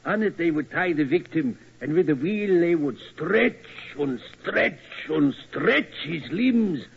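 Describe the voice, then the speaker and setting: Casting: fussy castle guide, husky, dull old radio sound. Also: male voice, on the high side, animated, unhurried, heavily acted. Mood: formal. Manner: formal, inverted word order. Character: middle-aged male German tower keeper, fussy. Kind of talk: 1940s radio acting. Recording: muffled old radio recording.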